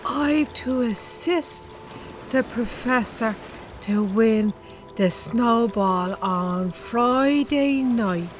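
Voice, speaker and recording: An obliging Irish woman from the bingo hall talks in a fairly high voice. Her delivery is very slow and compliant, in the manner of a radio play, with music behind. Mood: entranced, obedient. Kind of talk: audio drama acting.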